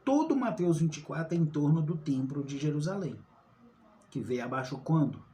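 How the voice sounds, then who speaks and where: A man's voice: a little high, lively intonation, normal speed, dark tone, a little hiss or hum. A man giving an informal Bible study, often asking rhetorical questions and checking in with his listeners. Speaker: online Bible teacher.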